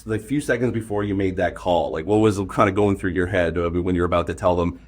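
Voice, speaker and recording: Lowish voiced guy doing an interview, kinda nervous and halting, casual chat.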